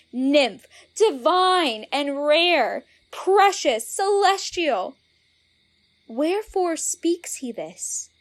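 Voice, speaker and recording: An actress playing a spurned, indignant young woman in a Shakespearean audio drama, declaiming verse theatrically. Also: sits high.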